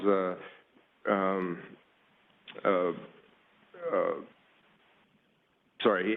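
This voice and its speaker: Man panelist, lowish voice, halting with fillers, phone-quality audio. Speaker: panelist, water utility worker. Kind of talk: presentation with slides, casual. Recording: tinny phone-like line.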